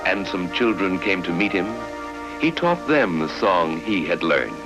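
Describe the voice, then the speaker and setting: Male narrator, low register with lively swings, formal fifties instructional-film storytelling over music. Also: measured pace, low-quality recording. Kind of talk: scripted 1950s educational film narration, storytelling. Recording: vintage 1950s film soundtrack.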